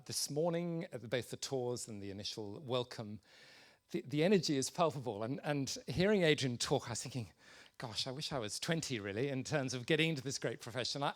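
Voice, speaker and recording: A man in his sixties with a mid-pitched voice addresses a conference informally. He speaks as a senior office holder, halting often as he finds his phrasing. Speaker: president of a conservation institute. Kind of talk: informal welcome speech to a conference.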